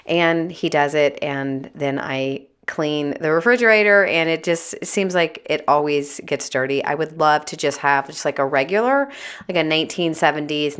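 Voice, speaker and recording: A woman with a raspy, hoarse voice, chatting candidly as a homemaking vlogger. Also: low voice, a bit bright. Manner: chatty, candid, a little exasperated. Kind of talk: casual vlog chat.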